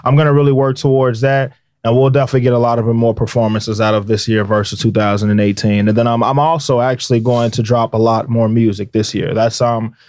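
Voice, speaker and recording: A man with a nasal voice, a rapper guest talking in a relaxed, rambling way with lots of fillers, in a recording studio. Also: medium pitch, quick pace, hardly any pauses. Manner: laid-back, rambling with fillers. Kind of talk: casual interview talk. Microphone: studio microphone.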